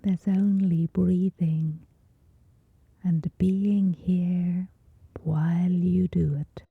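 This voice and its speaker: A woman with a soft, whispery voice gently guides a scripted sleep meditation, soothing, with long pauses between short phrases. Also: on the low side, fairly even intonation, gravelly, breathy edge, no room sound, narrow, tinny audio.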